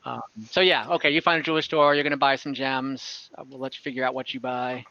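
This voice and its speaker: Bright-voiced man running a game. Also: mid-range voice, flat delivery, no echo. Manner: informal, halting, thinking aloud. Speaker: game master and host. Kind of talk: casual improvised game narration and chat. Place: online voice call from home. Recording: dull, close online call audio.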